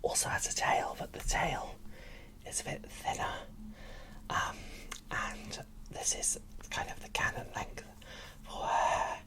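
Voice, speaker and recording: A host speaking in a breathy whisper into a close microphone, giving casual, enthusiastic ASMR unboxing commentary. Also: takes it slowly, pauses often, sharp tone, rough recording.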